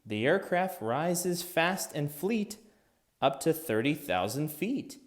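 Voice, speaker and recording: A man narrating a rhythmic children's picture book as an expressive read-aloud for young listeners. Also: fairly high pitch, takes it slowly.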